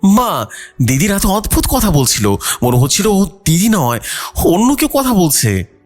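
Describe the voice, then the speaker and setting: A man's voice: very animated, unhurried, a little mellow, soft background music, full character voice. A man voicing a frightened young boy in a horror story, anxiously confiding in his mother. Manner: anxious, high-pitched confiding. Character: scared young brother reporting to his mother.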